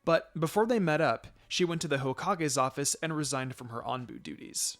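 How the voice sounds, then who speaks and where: A man's voice: a little high, very animated, normal speed, fairly bright tone. A man narrating a written story aloud as a scripted reading. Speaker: fan fiction reader.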